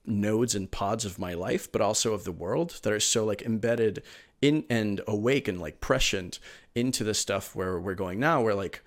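Lowish-voiced man telling his story, rambling. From Venezuela. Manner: eager, rambling with many fillers and restarts. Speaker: podcast guest. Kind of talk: casual interview storytelling.

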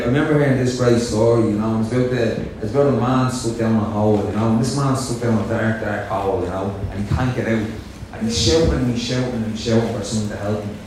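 A man's voice: mid-range voice, far from the mic, noisy background. A young man with a Dublin Irish accent telling his own recovery story from a stage, nervous and self-joking, with lots of fillers. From Dublin, Ireland. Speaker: speaker sharing his story at a convention. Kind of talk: personal recovery talk. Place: large hall on stage. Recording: echoey hall, picked up from afar.

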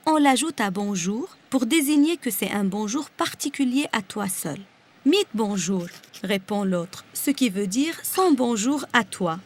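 Brief: woman from Lebanon, Lebanese accent, rolled R, native Arabic speaker, reading a personal essay, fairly high voice. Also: expressive, unhurried. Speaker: guest reader.

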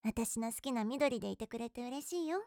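女性声優が、のんびりしていて人をからかうのが好きな女子高生を演じている。. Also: female voice, a little high, quick pace, a little mellow, no echo, studio quality, close to an everyday voice. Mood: easygoing. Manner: playful, teasing. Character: teasing, easygoing high school girl. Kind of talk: voice drama acting.

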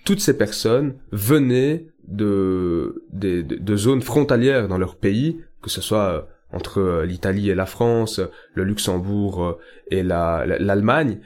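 A man's voice: animated, slow, darkish tone. A young Belgian man hosting a political podcast, speaking earnestly and stressing his key points. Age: young adult. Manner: earnest, emphatic, rises in pitch on key points. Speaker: podcast host.

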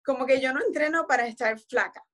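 A woman, explaining her routine in a relaxed, conversational way full of fillers. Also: sits high, fast. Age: 40s. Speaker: guest. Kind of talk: casual conversation about fitness.

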